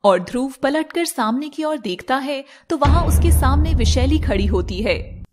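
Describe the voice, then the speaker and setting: A woman narrating a scripted animated fairy tale as storyteller, with noise behind her. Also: high-pitched, moderate pace, over music.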